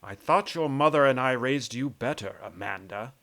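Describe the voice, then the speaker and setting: A man's voice: medium pitch, moderate pace, fairly bright tone, close to an everyday voice. An actor playing a stern, pompous middle-aged tycoon and domineering father in a radio play. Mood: indignant. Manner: formal, pompous.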